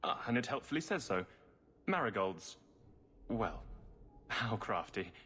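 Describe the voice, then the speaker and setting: Male courteous guardsman, fantasy game. Mild, puzzled, hesitant, very varied pitch, faint ambience. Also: sing-song, unhurried, sharp tone, a little hiss or hum, exaggerated voice. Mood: bemused. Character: polite, mild, bemused male city guardsman. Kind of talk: dramatic video game acting.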